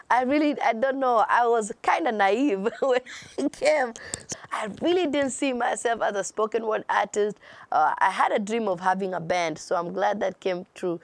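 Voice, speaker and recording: A woman from Kenya with a Kenyan accent and Swahili as her first language, answering interview questions expressively. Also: mid-range voice. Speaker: guest artist.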